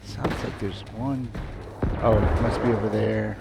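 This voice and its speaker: A man casually commenting on his own gameplay, muttering relaxed asides as he plays. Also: on the low side, measured pace, creaky, over loud music. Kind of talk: casual let's-play commentary.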